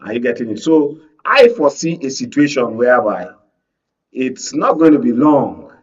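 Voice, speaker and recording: Husky Nigerian man, recorded from a distance. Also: medium pitch, sing-song, moderate pace. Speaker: panel guest, media analyst. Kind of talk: political panel discussion. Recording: distant mic, dull lo-fi sound.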